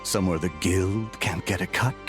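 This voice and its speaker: An actor playing a shifty underworld dealer in a video game, talking in a sly, coaxing way. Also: male voice, sits low, fairly even intonation, moderate pace, music in the background, close to an everyday voice.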